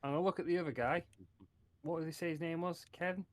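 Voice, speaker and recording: A man's voice: a little high, fairly even intonation, brisk, no echo. A man playing a tabletop game, speaking casually in short, blunt lines.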